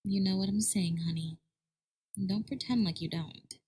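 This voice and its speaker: A woman voicing a stubborn, devoted young pony wife, earnest and breathy, in a fan fiction audio drama. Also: mid-range voice, normal speed, warm tone, close to an everyday voice. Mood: coaxing. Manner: earnest, insistent.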